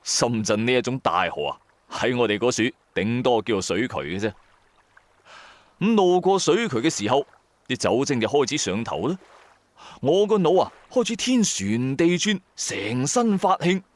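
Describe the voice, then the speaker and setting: A man's voice: medium pitch, normal speed, no room sound. A man with a Cantonese accent narrating an audio drama in a colloquial, dramatised first-person style, with chatty asides to the listener. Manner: colloquial, animated storyteller with rhetorical asides.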